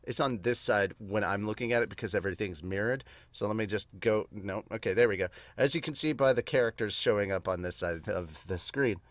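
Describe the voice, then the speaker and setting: A man's voice: lowish voice, a little fast, hardly any pauses. A man who hosts a podcast, talking casually to viewers in a chatty, rambling, self-correcting way. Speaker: podcast host and amateur manga dubber. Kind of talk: casual unscripted talk to viewers.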